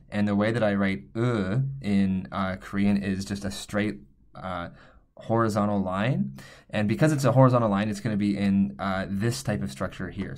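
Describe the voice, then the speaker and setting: A man teaching a recorded language lesson, explaining patiently and thinking aloud with small fillers as he writes. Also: lowish voice.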